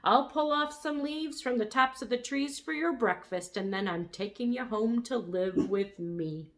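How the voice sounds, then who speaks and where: A woman voicing a huge, polite male brontosaurus with a rumbling voice in a storybook reading. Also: measured pace. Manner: polite and calm.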